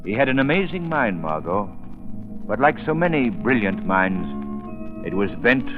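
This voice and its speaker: Tinny old radio sound with music behind frames a grave, composed hero. His low voice is measured and solemn, with expressive rises and falls. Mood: grave, reflective. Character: grave, composed male crime-fighting hero. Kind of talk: 1940s radio acting.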